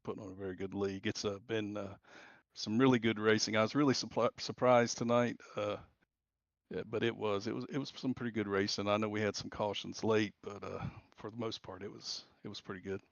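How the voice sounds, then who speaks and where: A gravelly male racing driver talks over a dull voice-chat link. His voice sits fairly low, and he answers casually and haltingly, plain-spoken and quick to take the blame. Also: level tone. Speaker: sim racing driver being interviewed. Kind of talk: casual post-race interview. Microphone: remote voice chat into the broadcast. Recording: dull, roomy voice-chat connection.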